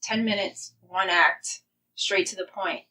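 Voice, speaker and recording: Woman interview guest, earnest and thoughtful, bright forward tone, slightly distant microphone. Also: mid-range voice, takes it slowly. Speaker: playwright guest. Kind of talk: conversational podcast interview.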